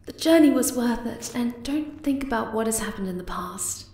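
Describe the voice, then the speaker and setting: A woman voicing a sweet, affectionate young moth-girl in an intimate ASMR romance roleplay, soft, gentle and reassuring. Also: a little high, animated, moderate pace, reverberant. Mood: loving, reassuring.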